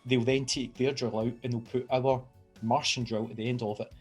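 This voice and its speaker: A man's voice: medium pitch, level tone, moderate pace, husky, soft background music. A Scottish man with a Glaswegian accent, a university engineering lecturer giving an enthusiastic, informal science outreach talk. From Scotland. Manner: enthusiastic, chatty, with fillers and restarts.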